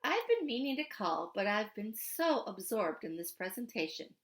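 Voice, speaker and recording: A woman voicing a gently concerned, practical scientist mother in a storybook, careful and earnest. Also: medium pitch, big pitch swings, normal speed. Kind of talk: storybook character reading.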